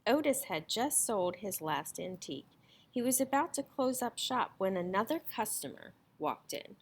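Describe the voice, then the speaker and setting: A woman reading a children's story aloud as an expressive storyteller. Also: medium pitch, moderate pace, a little hiss or hum.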